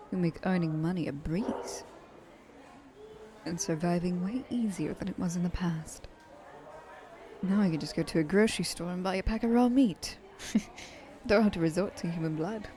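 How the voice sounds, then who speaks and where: An elegant vampire woman of a thousand years, acted by a woman for an ASMR roleplay. Her voice sits on the low side and is very mellow, often sinking to a breathy whisper. She flirts in formal, genteel phrases with sweet condescension, over faint background noise. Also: normal speed, pauses often, natural voice. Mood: wistful, pleased. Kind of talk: ASMR roleplay, intimate monologue to listener.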